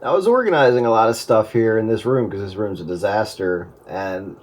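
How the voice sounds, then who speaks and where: A man's voice: on the low side, a little fast. A middle-aged man chatting casually over amateur radio in a rambling way, often restarting his sentences.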